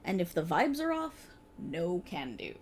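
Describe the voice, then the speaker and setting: A woman's voice: on the low side, big pitch swings, a little slow, slight background noise. A woman playing a playful, exasperated voice actor bantering casually with her best friend in an ASMR roleplay. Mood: teasing, candid. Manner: sarcastic, chatty, casual, hesitant asides. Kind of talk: ASMR roleplay, one side of a conversation.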